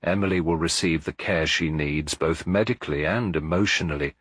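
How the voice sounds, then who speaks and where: A man reading the part of a gentle, wise elderly lady sleuth in a mystery audiobook, measured, formal and reassuring. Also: a bass voice, husky, dry recording, studio quality, heavily acted.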